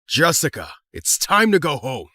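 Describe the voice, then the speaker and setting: A man's voice: on the high side, huge pitch swings, normal speed, pushed and bright, no room sound, clean studio sound, exaggerated voice. A man voicing a huge, menacing criminal father who speaks sternly and commandingly. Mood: threatening.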